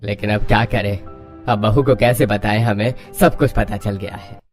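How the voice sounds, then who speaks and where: A man's voice: fairly low pitch, steady tone, moderate pace, faint music behind, natural voice. A man playing a kindly older father-in-law in a family story, homely and obliging. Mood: worried.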